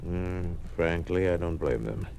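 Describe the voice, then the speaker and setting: A low-pitched, widely inflected smooth, two-faced older official. He hems and soothes like a kindly uncle while scheming, in an old radio play. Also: animated, measured pace, darkish tone, exaggerated voice. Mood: evasive, probing. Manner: avuncular, evasive, hesitant hemming. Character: sly, smooth-talking older lieutenant governor, two-faced party official. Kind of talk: 1950s radio drama acting. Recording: noisy old 1950s radio recording.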